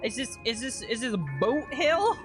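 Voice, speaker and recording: A woman live streamer giving casual, excitable reaction commentary while playing a game. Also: high voice, moderate pace. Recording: game music underneath.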